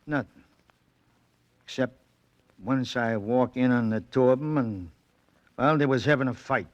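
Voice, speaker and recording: An actor playing a wary, hard-boiled boxing manager in an old radio drama, blunt and guarded, with slangy American speech. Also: male voice, mid-range voice, a little slow, muffled recording, natural voice. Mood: guarded, uneasy.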